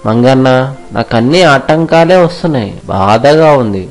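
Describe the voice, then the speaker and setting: A man's voice: mid-range voice, expressive, normal speed, soft background music, close to an everyday voice. A man playing an earnest, kind and devoted young hero in a dramatic children's storybook tale. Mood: sad.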